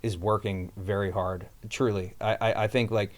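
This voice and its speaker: Rambling low-voiced male band guest. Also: little pitch movement, moderate pace. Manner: rambling, loose, many fillers and restarts. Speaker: band singer and guitarist, guest. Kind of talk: casual interview chat.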